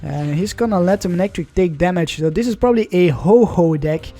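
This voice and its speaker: A man's voice: high voice, brisk, dark tone, faint music behind. Chatty Dutch man commenting on a card game. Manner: chatty, rambling, self-correcting. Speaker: gaming channel host.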